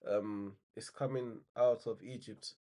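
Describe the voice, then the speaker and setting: A man's voice: fairly low pitch, fairly even intonation, measured pace, warm tone. A man with a slight cold and a bit nasal, casually running through football news in a rambling way with many fillers and restarts. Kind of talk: casual spoken news roundup.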